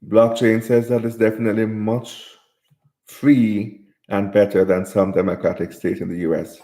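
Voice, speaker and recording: A man's voice: fairly low pitch, little pitch movement, moderate pace, dark tone, echoey room. A man from Jamaica hosting a live stream, reading viewer comments aloud and answering them in casual chat.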